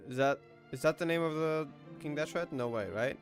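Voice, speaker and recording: A man's voice: a little high, normal speed, soft background music. A man reacting to a game story, musing aloud and asking himself questions about it. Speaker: game streamer. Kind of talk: casual game reaction commentary.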